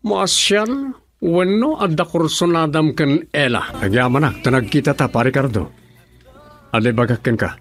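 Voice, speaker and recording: An angry friend in a radio play, voiced by a man who reacts with shock and confronts his rival. His voice sits slightly high. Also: animated, normal speed. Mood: confrontational. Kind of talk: radio drama acting.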